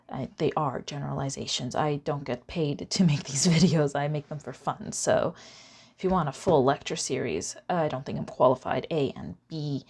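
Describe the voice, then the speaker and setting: A woman's voice: low voice, darkish tone. A woman presenting an informal explainer lecture, chatty and given to wry asides. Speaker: presenter of an educational video series.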